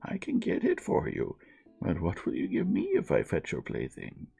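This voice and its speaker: A man voicing a persistent, pleading male frog in a fairy tale, speaking in a slow, imploring way. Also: sits low, huge pitch swings, vocal fry, dark tone, slightly rough, soft background music, exaggerated voice. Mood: bargaining.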